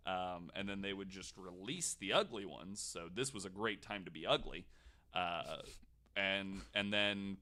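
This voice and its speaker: Male podcast host, lower register, crisp bright edge, loose comedic storytelling with wry asides. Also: unhurried. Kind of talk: casual comedic storytelling from notes.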